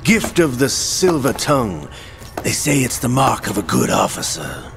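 An actor playing a sly, theatrical older villain in a video game, raspy, with a smug and menacing drawl. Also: male voice, medium pitch, wildly swooping pitch, unhurried, heavily acted. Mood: mocking. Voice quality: raspy, gravelly. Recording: noisy ambience behind.

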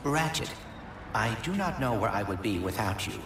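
A man's voice: on the low side, lively intonation, noisy background. A man voicing a small, logical robot sidekick in a video game, speaking formally and precisely. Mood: sincere. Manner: formal, precise, measured wording. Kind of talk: video game cutscene acting. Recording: loud music behind.

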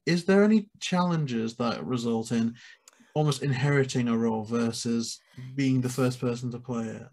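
A young adult man hosts an interview over a video call. His pitch sits in the middle, and he builds long, thoughtful questions that ramble a little. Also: normal speed, darkish tone. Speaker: podcast host.

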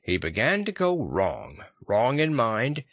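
A man voicing a boisterous older gentleman doctor in an audiobook, emphatic and theatrical. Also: medium pitch, huge pitch swings, slow pace, no echo, studio quality, full character voice. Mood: disapproving.

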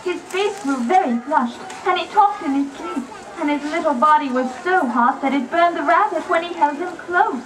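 A woman's voice: high voice, moderate pace, hardly any pauses, a bit bright. A woman narrator reading a scripted children's story for a puppet show. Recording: distant, noisy puppet theater recording.

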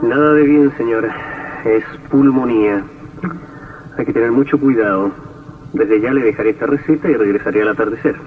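An actor playing a grave, businesslike family doctor in a vintage radio drama, speaking formally. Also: male voice, medium pitch, normal speed, pauses often, natural voice. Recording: tinny, distant old radio.

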